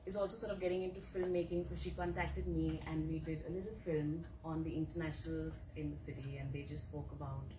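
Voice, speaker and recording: Lady giving a casual talk, kinda low voice, recorded from across an echoey room. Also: little pitch movement, brisk, hardly any pauses. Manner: chatty, with fillers and asides. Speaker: presenter. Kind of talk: casual talk at a welcome event. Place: office meeting room. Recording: echoey room, mic far off, background noise.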